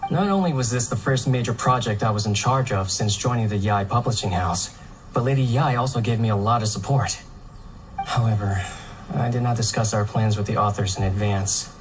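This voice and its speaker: A man voicing an earnest, responsible young book editor in a fantasy game, explaining politely and in a measured way. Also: fairly low pitch, slight background noise, lightly acted, rough recording. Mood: apologetic, earnest. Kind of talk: animated game voice acting.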